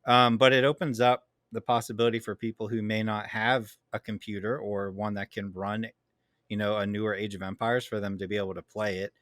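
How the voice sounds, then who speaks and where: Low-voiced teasing male co-host. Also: little pitch movement, brisk, fairly bright tone, dry recording, studio quality. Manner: teasing, chatty, many ums. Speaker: podcast co-host. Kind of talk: casual podcast chat.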